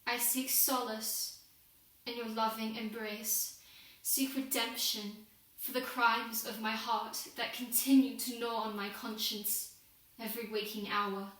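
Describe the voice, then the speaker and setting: A woman's voice: fairly high pitch, level tone, fairly bright tone. A young woman playing a guilt-ridden, devout working woman of the industrial era in a solemn, formal confessional monologue. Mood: solemn, penitent. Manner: solemn, deliberate, formal oratory. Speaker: student performer. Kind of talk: dramatic monologue, confessional prayer. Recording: very echoey room, distant microphone.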